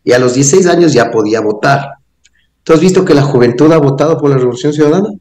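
A man's voice: a little high, fast, darkish tone. A middle-aged man, a guest analyst giving mocking, crude commentary on a video call. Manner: sarcastic, crude, insulting. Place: home office, indoors. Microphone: webcam on a video call. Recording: echoey room on a webcam.

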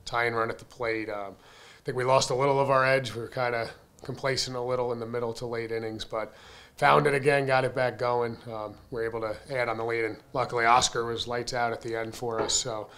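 A man in his thirties speaks into a desk microphone at a postgame press conference. He answers as a baseball coach, candid and conversational, with plenty of "um" and "you know". His voice sits at an ordinary middle pitch and rises with energy on key points. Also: brisk, clean tone. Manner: candid, conversational, frequent fillers, energetic emphasis. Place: press room in front of a sponsor backdrop. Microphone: desk mic on a stand.

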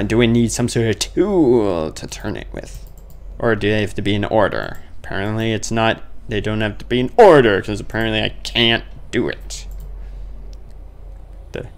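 A man's voice: fairly low pitch, a bit bright. A man giving casual, thinking-aloud commentary on a horror game, grumbling and muttering with sudden exclamations. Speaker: let's play gamer.

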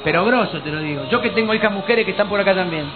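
A man's voice: sits high, racing, narrow, tinny audio, loud music behind the voice. A man with a Rioplatense Spanish accent hosting a live TV studio show, teasing and theatrical in his banter. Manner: playful, teasing, theatrical announcer. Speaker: TV show host.

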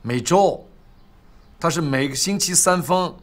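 A man plays an earnest prosecution officer in a film drama. His voice sits a little high for a man, firm and sure, over slight noise. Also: measured pace, close to an everyday voice. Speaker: actor in a film.

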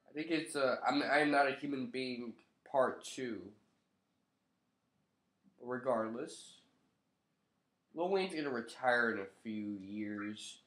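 A man's voice: medium pitch, slow, long pauses, fairly bright tone, roomy sound, faint music behind. A young man with a stuffy, nasal voice from a cold, talking loosely and with some swearing to a webcam in his living room. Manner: loose, profane, offhand. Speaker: vlogger. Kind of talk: casual commentary to camera.

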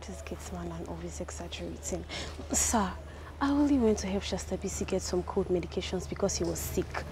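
A woman's voice: lowish voice, normal speed, close to an everyday voice. A young woman with a Nigerian accent, acting a clinic receptionist in a film drama, talking defensively and pleading her case. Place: outdoors on a clinic balcony. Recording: outdoor ambience with clear noise.

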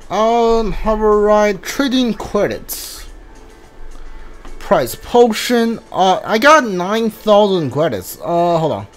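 Male streamer, slow halting delivery, casual playthrough commentary, thinking aloud, game music behind. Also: high voice, lively intonation. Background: video game music.

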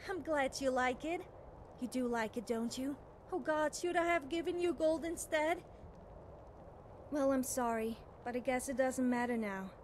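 A woman's voice: sits high, a little slow, slight background noise. A woman voicing an eager, scatterbrained young would-be witch in a video game, chatty and self-doubting. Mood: eager, nervous. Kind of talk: video game character dialogue.

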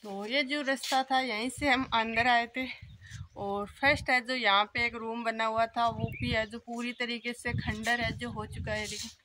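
A woman's voice: fairly high pitch, steady tone, normal speed, sharp tone, slight background noise. Chatty young woman on a house tour. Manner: chatty, leans on filler phrases, talks to viewers as friends. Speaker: vlogger giving a house tour. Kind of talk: casual walking house tour. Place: old empty brick house, indoors and outdoors. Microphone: phone held at arm's length.

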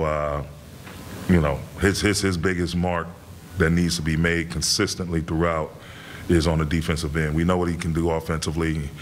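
A middle-aged man, a head coach, answering reporters' questions after a game in a measured way, with many fillers and repeated words. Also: deep voice, slight background noise. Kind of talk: postgame press conference answers. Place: press room in front of a sponsor backdrop.

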